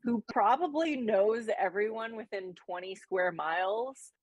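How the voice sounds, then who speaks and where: A woman hosting a casual interview, chatty and quick to laugh along with her guest. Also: mid-range voice, dry recording, rough recording. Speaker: interview host.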